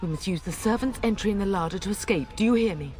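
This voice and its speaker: A woman's voice: mid-range voice, fast, darkish tone, lightly acted. A woman playing a middle-aged noblewoman mother in a fantasy video game, commanding, earnest and formal, fierce in her grief. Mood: urgent, resolute. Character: fierce, grieving noblewoman mother of a besieged castle. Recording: dramatic music behind.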